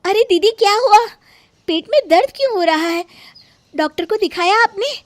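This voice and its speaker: Cartoon younger sister-in-law, played by a woman: concerned, earnest, high, animated. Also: moderate pace, no echo. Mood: worried. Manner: anxious, solicitous. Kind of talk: Hindi cartoon story dialogue.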